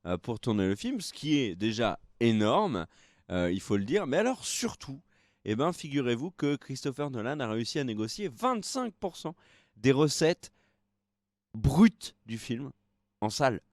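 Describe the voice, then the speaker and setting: Young male co-host, tired, loose and filler-heavy, mid pitch, dry close sound. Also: very animated, moderate pace, darkish tone, dry recording. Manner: rambling, hesitant with many fillers. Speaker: co-host of a film news show. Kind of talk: casual live-stream chat.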